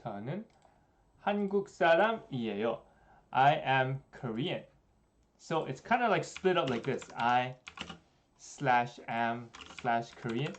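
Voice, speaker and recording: Young man teaching Korean on stream. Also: medium pitch. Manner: relaxed, chatty, many fillers, slows down for examples. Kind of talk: casual livestream lesson. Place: small room indoors.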